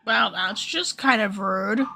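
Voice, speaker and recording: A woman's voice: mid-range voice, takes it slowly, vocal fry, bright, forceful tone. A woman game streamer giving casual, informal livestream commentary, reacting aloud as she plays.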